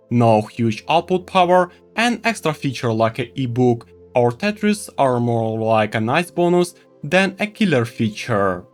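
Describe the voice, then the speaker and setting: A man who speaks English as a second language, presenting a semi-scripted product review to viewers in an expressive, enthusiastic way. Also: medium pitch, smooth. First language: not English. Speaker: tech reviewer and video host.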